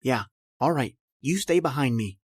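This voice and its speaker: A man's voice: mid-range voice, lively intonation, moderate pace, a little hiss or hum, close to an everyday voice. A man playing a tense, accusing husband under suspicion in an old-time radio style horror drama, delivered in a confrontational way. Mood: tense, conspiratorial. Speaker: actor in an audio drama.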